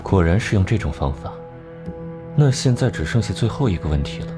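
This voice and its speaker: An actor playing a cold, clever male investigator in an animated crime drama, reasoning in a measured, analytical way. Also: low-pitched, over music. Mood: probing, composed. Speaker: detective section chief.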